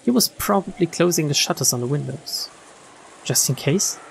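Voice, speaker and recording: A woman streamer reading visual novel text aloud in an expressive storytelling style. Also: sits low, moderate pace, gravelly, a little hiss or hum, low-quality recording. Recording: game music behind the voice.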